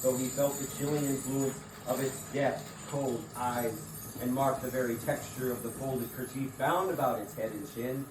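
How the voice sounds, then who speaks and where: A man narrates a scripted tale in a mid-range voice, reading it as theatre. He sounds distant from the microphone, in an echoey room with clear background noise. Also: mid-range voice, fairly even intonation, normal speed.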